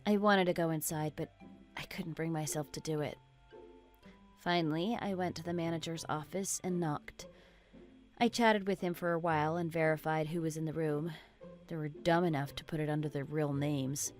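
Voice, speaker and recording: A woman narrating a scripted first-person story as a troubled wife recounting her suspicions in a measured way. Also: sits low, steady tone, darkish tone, faint music behind, close to an everyday voice.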